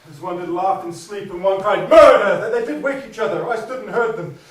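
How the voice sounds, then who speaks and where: An actor playing a guilt-ridden Scottish lord, declaiming Shakespearean verse on stage, recorded from far off in an echoey hall. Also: male voice, high voice, a little fast, natural voice. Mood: shaken, fearful. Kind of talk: stage play performance. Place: theatre stage in a large hall. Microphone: distant room mic.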